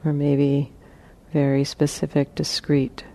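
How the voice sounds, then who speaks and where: A woman leading a guided meditation as a teacher, speaking gently in short, spaced phrases with long pauses. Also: low-pitched, completely flat delivery.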